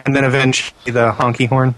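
A man's voice: medium pitch, little pitch movement, quick pace, fairly bright tone, no echo, low-quality recording. A man co-hosting a podcast, chatting casually with dry, teasing humor. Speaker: podcast host. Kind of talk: casual chat.

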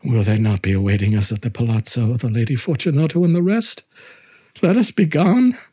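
Man acting a husky, desperate, doomed nobleman. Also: medium pitch, fairly quick, dark tone, lightly acted. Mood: desperate false mirth. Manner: theatrical, pleading, forced joviality. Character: drunken doomed nobleman, chained, shrill, desperately laughing. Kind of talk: dramatic reading of gothic story.

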